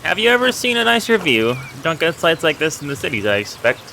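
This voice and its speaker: A man's voice: a little high, big pitch swings, brisk, vocal fry, pushed and bright, a little hiss or hum, voice pushed up, exaggerated voice, low-quality recording. A man voicing a friendly, humble village miller in a video game, chatting in a folksy way about old legends. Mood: friendly, musing. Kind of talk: reading video game dialogue aloud.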